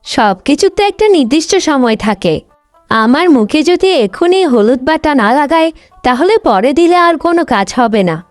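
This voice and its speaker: একজন মহিলা কার্টুন গল্পে অহংকারী, স্বার্থপর তরুণী বড় বোনের চরিত্রে কণ্ঠ দিচ্ছেন, কথায় বকাঝকা আর অভিযোগের সুর।. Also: female voice, sits high, expressive, normal speed, no echo, soft background music. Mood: scolding, aggrieved. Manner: scolding, complaining. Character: vain, selfish, sharp-tongued young elder sister. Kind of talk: Bengali cartoon story voice acting.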